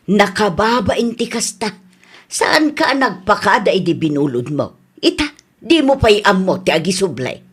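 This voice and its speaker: A mid-pitched older Ilocano mother, played by a woman, scolding and reproachful. She admonishes in a motherly way, as in a radio comedy drama. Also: sing-song, a little slow, slightly rough. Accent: Ilocano Filipino.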